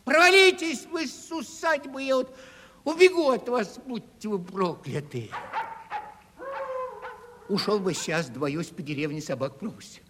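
An actor playing a clownish, boastful and cowardly travelling comedian in a radio play, theatrical and grumbling. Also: male voice, high-pitched, lively intonation, measured pace, long pauses, bright, forceful tone, slight background noise, full character voice. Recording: reverberant 1970s radio play studio recording.

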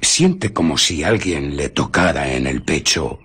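A man's voice: very deep voice, lively intonation, unhurried, a bit bright, slightly rough, heavily acted. An actor playing a scornful, impatient invisible man in a radio drama, sardonic and commanding. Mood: insistent.

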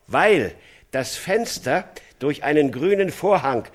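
An actor playing a newspaper reporter who gives a careful, solemn eyewitness account in a radio drama. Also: male voice, fairly high pitch, animated, slow.

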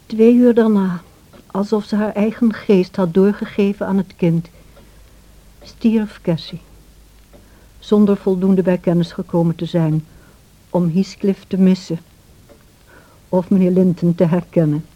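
A woman playing a sorrowful, gentle servant who narrates past events in a radio play in a measured way. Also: medium pitch, fairly even intonation, lightly acted. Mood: solemn, tender. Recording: dull old radio play recording.

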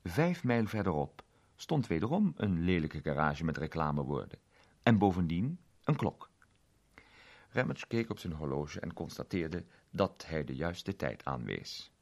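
A man narrating a story in a steady, measured manner. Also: deep voice, vocal fry.

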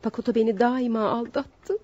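Husky, high-voiced distraught young wife played by an actress. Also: female voice, a little mellow, no echo, natural voice. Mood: defensive, pleading. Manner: pleading, melodramatic, halting. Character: distraught, proud young wife betrayed by her husband. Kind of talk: old radio theater acting. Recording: dull lo-fi radio drama, partly phone-like.

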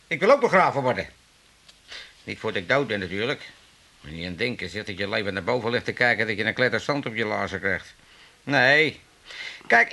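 A man's voice: mid-range voice, pushed and bright. An actor playing a grumbling, cheeky old working-class father, blustering colloquially in a comic radio drama. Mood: dry musing. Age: older. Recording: faint tape hiss.

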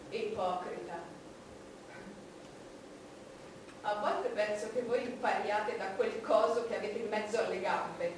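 A woman's voice: high voice, lightly acted. An actress playing an imperious, scornful, vulgar-tongued Queen of England in a theatrical stage monologue, with a commanding delivery. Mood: cold contempt. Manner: theatrical, commanding, swinging from hushed menace to loud outbursts. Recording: echoey, distant, noisy stage recording.

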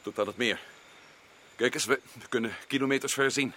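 An actor playing a shrewd, commanding male expedition leader in a radio play, who often lowers his voice to a conspiratorial hush. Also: fairly quick. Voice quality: low voice, drops to hushed whispers. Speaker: adventurer in Africa.

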